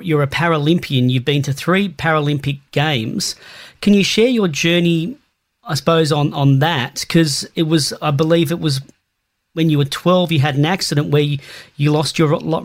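A man interviewing a guest, asking casual questions that halt and restart. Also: a little high.

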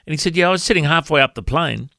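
A man's voice: fairly high pitch, racing, creaky, pushed and bright, no echo, studio quality. An Australian man, a pastor and radio presenter with a big voice, telling a story in a conversational devotional talk.

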